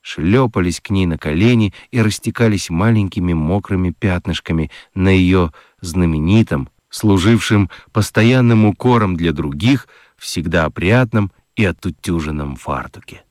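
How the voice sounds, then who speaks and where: A man narrating a children's radio story expressively, with dramatic, deliberate emphasis. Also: low voice, a little mellow, dry recording, studio quality.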